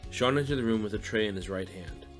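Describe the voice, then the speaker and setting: Deep-voiced male story narrator over music. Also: fast. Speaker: audiobook narrator. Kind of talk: scripted fiction narration.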